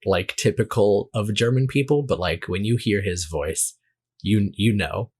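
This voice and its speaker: Low, husky man chatting casually. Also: measured pace, darkish tone. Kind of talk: casual conversation.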